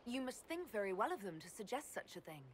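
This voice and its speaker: Female Inquisitor, game hero, played by an actress: calm authority, direct, understated, middle register. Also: medium pitch, fast talker, slight background noise, lightly acted. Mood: courteous, inquiring. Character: composed, questioning female Inquisitor, a leader. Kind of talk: video game player-character dialogue.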